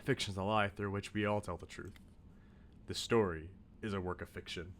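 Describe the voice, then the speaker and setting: A man's voice: deep voice, steady tone, moderate pace, fairly bright tone, a little hiss or hum. A man streaming a video game, chatting casually with his viewers in rambling asides and reading on-screen text aloud.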